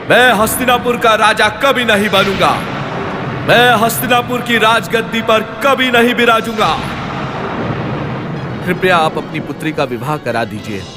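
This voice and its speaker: An actor playing a proud, devoted young crown prince in an animated myth, speaking in solemn declamations. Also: male voice, high-pitched, measured pace, over music. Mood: resolute, proud. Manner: declamatory, solemn vow-making. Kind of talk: dramatic animated mythological dialogue.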